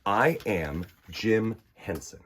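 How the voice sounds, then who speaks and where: A man's voice: on the low side, expressive, slow, a bit bright, breathy edge. A man reading a children's picture book aloud expressively, with dramatic delivery and conversational asides. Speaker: storytime host reading aloud.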